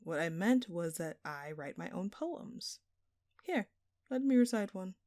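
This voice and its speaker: Woman acting as a slow, smug, flirtatious thief. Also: lowish voice, expressive, mellow, dry recording, studio quality. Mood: playful. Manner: teasing, theatrical, playfully sarcastic. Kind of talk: intimate scripted audio roleplay.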